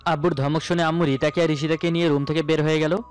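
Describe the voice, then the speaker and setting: A man's voice: a little high, little pitch movement, fast. A man narrating a romantic story in the first person, in a dramatic storytelling style. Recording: music bed under the voice. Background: background music.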